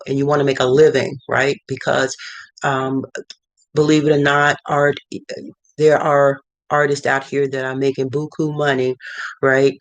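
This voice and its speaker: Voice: mid-range voice, no room sound. A middle-aged visual artist giving casual advice from a cluttered home studio, talking in a rambling way with many fillers and restarts. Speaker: visual artist and art consultant.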